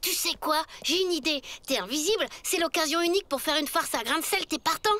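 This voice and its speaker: A woman's voice: sits high, fast talker, pushed and bright. A woman voicing a mischievous, eager schoolchild who plays the sidekick in a French cartoon. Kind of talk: French animated series dubbing.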